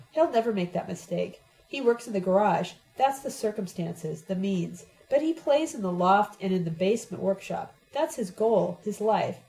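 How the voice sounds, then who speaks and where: Give me a woman voicing a dramatic, unstable young woman in a storybook, mellow voice. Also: mid-range voice, close to an everyday voice, low-quality recording. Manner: dramatic, declaiming. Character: intense, theatrical young woman, unstable and ruthless.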